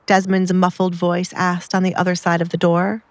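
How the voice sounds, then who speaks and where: A woman's voice: fairly low pitch, monotone, moderate pace, no echo. A woman narrating an audiobook drama in a scripted storytelling style.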